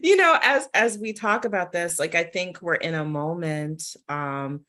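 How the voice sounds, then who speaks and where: A woman with a very low-pitched voice leads a casual discussion. She speaks informally and directly to the group, as a host would with people she knows. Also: animated, a little slow, pushed and bright. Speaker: host and author leading a panel discussion.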